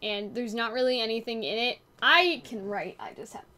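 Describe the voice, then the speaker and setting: A woman hosting a gaming channel, chatting casually and reading aloud from a web page in an expressive way. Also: fairly high pitch, moderate pace.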